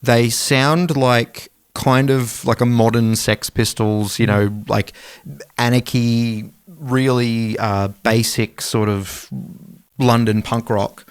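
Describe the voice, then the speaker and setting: Casual Australian man, clear, dry sound. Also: mid-range voice, steady tone, takes it slowly, no room sound. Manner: sweary, colloquial. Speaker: music podcast co-host. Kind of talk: casual chat.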